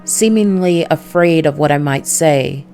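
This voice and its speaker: Woman voicing a low, weary noir detective. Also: measured pace, warm tone, faint music behind. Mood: brooding. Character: weary, hard-boiled male noir detective narrator. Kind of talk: noir visual novel narration.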